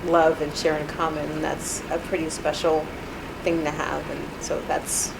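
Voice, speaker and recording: Slow, low-voiced woman reflecting in an interview. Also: little pitch movement, creaky, very noisy. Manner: hesitant, many fillers and restarts. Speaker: alumna being interviewed. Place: apartment.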